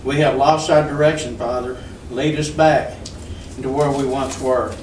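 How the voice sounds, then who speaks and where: A man, a pastor, leading an earnest, measured prayer before a community meeting. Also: mid-range voice, fairly even intonation, a little mellow, slight background noise. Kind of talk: spoken prayer. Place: community center hall. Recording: echoey hall from far off.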